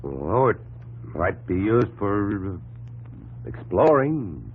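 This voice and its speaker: Slow, husky, musing inventor. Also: male voice, fairly low pitch, very animated, heavily acted. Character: thoughtful, slow-spoken male inventor, chatting idly with brother. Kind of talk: 1950s radio drama acting. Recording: tinny 1950s radio broadcast.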